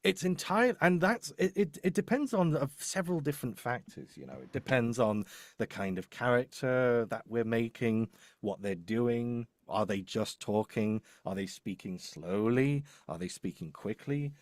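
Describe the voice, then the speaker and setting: A man talks through his working method in a live workshop. His pitch is average and the sound is dry. He is emphatic, often stopping to rework or repeat a phrase before moving on. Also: lively intonation, moderate pace, no room sound. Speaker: voice-over demo producer and script writer. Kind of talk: explaining in a live online workshop.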